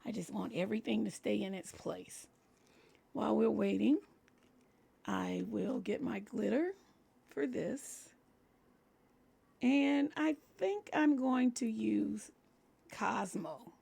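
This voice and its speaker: A woman hosting a crafting tutorial in a relaxed, chatty way, explaining each step as she works, her voice scratchy from a cold. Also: medium pitch, slow pace, creaky, pauses often, husky. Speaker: crafting channel host. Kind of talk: casual crafting tutorial talk.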